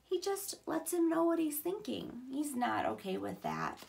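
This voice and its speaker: A woman's voice: high voice, huge pitch swings, measured pace, creaky, darkish tone, a little hiss or hum. A woman in her thirties reading aloud like a teacher to young children, explaining simply and expressively. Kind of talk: children's read-aloud with questions and commentary. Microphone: camera a short distance away.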